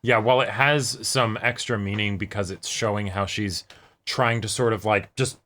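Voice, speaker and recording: A man's voice: fairly low pitch, steady tone, normal speed, sharp tone. A man chatting casually as co-host of a reaction show, agreeable and a little tentative, thinking aloud in fragments. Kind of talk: casual chat.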